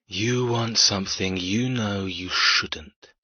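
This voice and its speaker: A man playing a menacing, seductive lover in an audiobook romance, slow and controlled, with a commanding manner. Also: fairly low pitch, sharp tone, slightly rough, no room sound, lo-fi sound, heavily acted.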